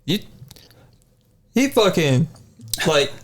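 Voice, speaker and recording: A man's voice: fairly high pitch, big pitch swings, drawn-out words, a loud crowd. A man with an American accent in casual, slangy podcast chat as a panelist.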